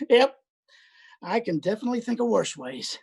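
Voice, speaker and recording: A woman voicing a crude, lecherous older man who is a hospital patient, talking with a leering, boastful drawl and breathy chuckles. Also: a little high, sing-song, unhurried, a little mellow, exaggerated voice. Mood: sleazy. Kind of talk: livestream play reading.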